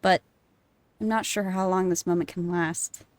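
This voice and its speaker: A woman's voice: on the low side, fairly even intonation, normal speed, a little hiss or hum. A woman streamer reacting live to a story game, reading the text aloud, laughing often and swearing. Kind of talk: live gameplay commentary.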